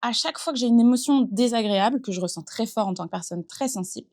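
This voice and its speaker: A woman's voice: on the high side, fast. Earnest thirty-year-old woman in interview. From western France. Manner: earnest, reflective, steady flow with frequent fillers. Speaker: entrepreneur guest. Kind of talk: casual interview conversation. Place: improvised studio in a concert hall dressing room.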